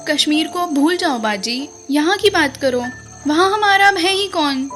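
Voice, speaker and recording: A woman's voice: very high voice, lively intonation, a little slow, a bit bright, full character voice. A woman playing a bubbly, affectionate younger sister in an audio drama, coaxing and mixing English into her speech. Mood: affectionate, grateful. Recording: music bed behind the voice.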